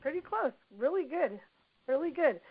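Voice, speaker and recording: A woman with a hoarse voice from a cold, presenting a workplace training webinar in an encouraging manner, often saying "you know". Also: high-pitched, big pitch swings, moderate pace. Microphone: computer mic on a webinar call. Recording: dull lo-fi web audio.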